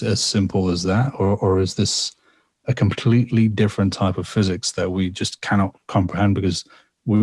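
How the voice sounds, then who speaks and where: Deep-voiced man, rambling storyteller. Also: a little mellow, slightly rough, no room sound. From England. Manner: rambling and reflective, with frequent repetitions and fillers. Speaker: guest storyteller and artist. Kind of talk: casual podcast conversation, telling a personal story.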